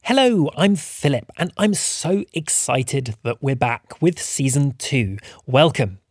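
Male host, scripted announcements, welcoming, lively pitch, husky edge, dry studio sound. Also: takes it slowly, dry recording. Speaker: podcast host.

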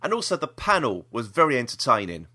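A man's voice: mid-range voice, sing-song, fast, bright, forceful tone, breathy edge. A British man hosting a podcast, chatting casually about the news in a rambling, opinionated way. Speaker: podcast host.

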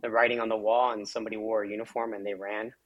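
A man's voice: on the low side, flat delivery, very fast, lo-fi sound. A middle-aged man from South Florida, a physician associate, answering interview questions in a conversational way, full of fillers like "um" and "you know". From South Florida, United States. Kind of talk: interview answers, life story.

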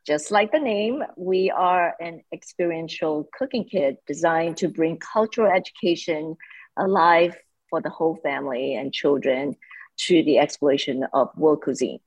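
A woman's voice: low voice, normal speed, slightly rough, dry recording, lo-fi sound. A woman from Malaysia, talking earnestly as a guest founder in a casual interview. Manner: earnest, animated, slightly non-native English grammar.

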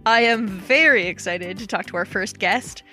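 Woman, bright forceful voice, eager host, faint music behind. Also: medium pitch, normal speed. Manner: eager, upbeat introductions. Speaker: podcast co-host. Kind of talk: interview hosting.

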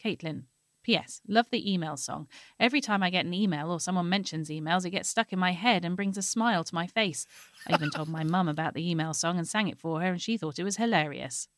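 A woman reading a listener's email aloud on a podcast, in a steady, bright manner. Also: on the low side, fast talker, dry recording.

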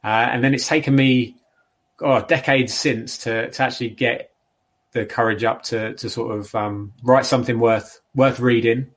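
A man speaking as a guest in a casual interview, hesitant and halting, with many fillers and repeated words. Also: mid-range voice, steady tone.

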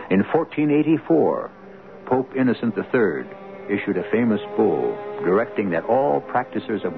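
A theatrical, portentous storyteller hosting a radio drama. His voice is very deep and swoops expressively through rhetorical questions. Clear music plays behind him. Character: grave, theatrical male storyteller of the supernatural. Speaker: host and narrator. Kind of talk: scripted radio drama narration. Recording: tinny old radio with loud music.

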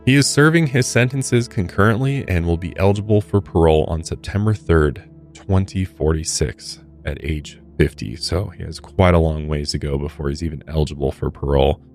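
An American man hosting a true crime podcast discussion, talking in a measured, conversational, hedging way. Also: low voice, vocal fry, darkish tone, faint music behind. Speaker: podcast host.

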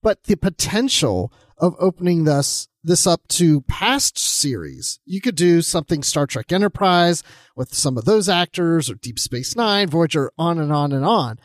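A man's voice: on the high side, sing-song, unhurried, no echo. A man co-hosting a podcast, talking casually as part of a panel discussion. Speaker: podcast co-host.

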